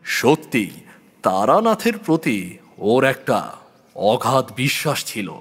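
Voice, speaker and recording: A man narrating a horror story in the first person in a dramatic storytelling style. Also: medium pitch. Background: background music.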